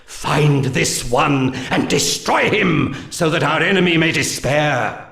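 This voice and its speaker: An actor playing a solemn, commanding alien seer who briefs his warriors in grave, measured, mystical pronouncements for a 1990s game cutscene. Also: a little high, lively intonation, creaky, fairly bright tone, heavily acted. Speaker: mission briefer. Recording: roomy reverb on the voice.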